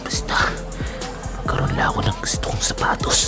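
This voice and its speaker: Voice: fairly quick, whispered, close to an everyday voice. An actor in a Filipino radio drama playing a sly, gleeful, scheming child who giggles and stammers when cornered. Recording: music bed behind the voice.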